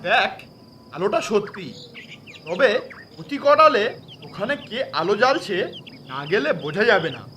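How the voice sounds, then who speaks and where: A man's voice: high voice, big pitch swings, measured pace, pauses often, bright, forceful tone, slight background noise, heavily acted. A man voicing a bold, sceptical young villager in a cartoon, scoffing and teasing. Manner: scoffing, mocking. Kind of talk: cartoon voice acting.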